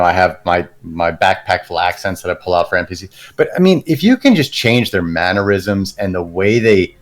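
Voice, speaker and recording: A man talking casually as a guest on a podcast, thoughtful and relaxed. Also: fairly low pitch.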